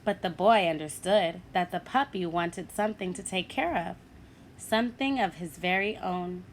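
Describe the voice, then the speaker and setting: A woman teacher reading a picture book aloud to children, animated and asking her listeners questions. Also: on the low side, normal speed, slight background noise.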